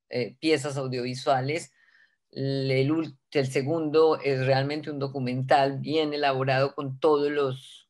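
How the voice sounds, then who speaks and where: Hesitant man introducing films. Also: medium pitch. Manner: measured, with frequent hesitations. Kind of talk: spoken introduction at a panel.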